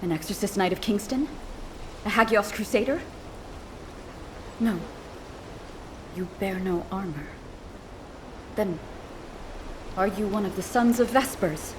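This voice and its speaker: An actress voices a proud, pious woman warrior from a video game. She speaks in formal, archaic phrases with a haughty bearing, at a middling pitch. A faint noise sits under the voice. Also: a little slow, pauses often, lightly acted, low-quality recording. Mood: proud, wary.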